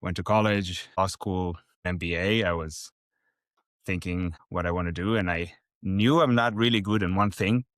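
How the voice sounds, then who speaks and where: Low-voiced man, reflective. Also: steady tone, moderate pace, fairly bright tone, dry recording, studio quality. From Israel. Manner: reflective, hesitant with fillers. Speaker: startup founder, guest. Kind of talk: podcast interview.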